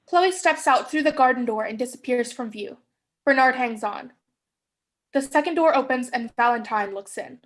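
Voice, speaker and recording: Young woman reading out stage directions for a play, high voice, scripted narration. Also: animated, moderate pace, fairly bright tone. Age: young adult.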